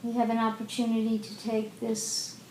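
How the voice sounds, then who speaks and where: A woman leading a guided meditation as a teacher, speaking gently and unhurriedly with long pauses in an indoor room. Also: completely flat delivery. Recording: roomy echo, faint rumbling background noise.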